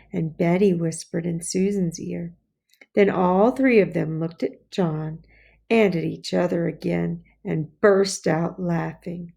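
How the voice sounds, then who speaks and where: Unhurried woman narrator with creaky voice. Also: on the low side, warm tone. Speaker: storybook reader. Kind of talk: children's audiobook narration.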